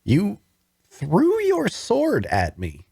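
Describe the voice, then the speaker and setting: A man's voice: sits high, wildly swooping pitch, drawn-out words, vocal fry, dark tone, husky, no echo, studio quality, full character voice. A man playing a wounded, bookish prisoner in an audio drama table read, wary and inquisitive, with a hesitant, questioning delivery. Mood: confused, alarmed.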